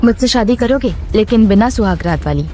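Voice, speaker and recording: A woman's voice: mid-range voice, animated, a little fast, warm tone, faint music behind, close to an everyday voice. A woman playing a composed, commanding young billionaire heiress in an audio drama.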